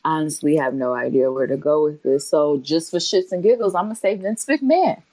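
A woman's voice: low voice, brisk, mellow, no room sound, rough recording. Sardonic woman cussing her way through wrestling picks, dead dry mic. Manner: blunt, swearing, sardonic. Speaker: podcast co-host. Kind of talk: casual chat about wrestling.

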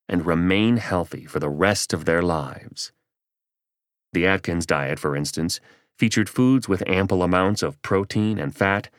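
A man reading a nonfiction audiobook as its narrator, in a measured, articulate way. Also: low voice, dry recording, clean studio sound.

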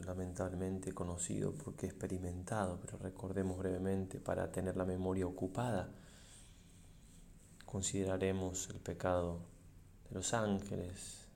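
Low, gravelly man leading a slow meditation. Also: dark tone, slight background noise. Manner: solemn, measured, pausing between short phrases. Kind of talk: slow reflective guided meditation.

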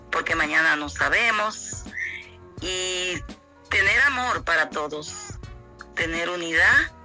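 An older woman from the Dominican Republic, a guest on her son's podcast, speaking earnestly with pauses between phrases. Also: fairly low pitch, bright, forceful tone, rough recording. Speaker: interview guest, host's mother. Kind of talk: heartfelt advice in an interview.

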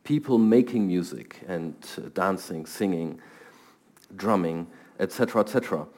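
A man's voice: sits low, normal speed, darkish tone. A middle-aged man from Germany with a German accent, a scientist answering interview questions thoughtfully and often repeating words as he starts. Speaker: guest scientist.

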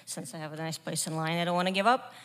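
A woman in an audience asking a question politely, halting with fillers. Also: on the low side, a bit bright, slight background noise. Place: theater audience.

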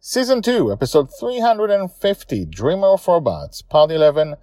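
A man's voice: a little high, normal speed, no echo, clean studio sound. A man hosting a story podcast, talking informally and emphatically about his own serial. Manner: animated, emphatic, self-correcting.

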